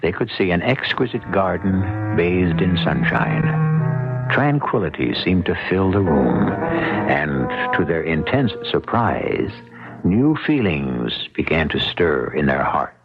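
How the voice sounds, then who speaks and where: A man narrating a scripted radio drama in a measured, dramatic way. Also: deep voice, big pitch swings, over music. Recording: muffled vintage radio broadcast recording.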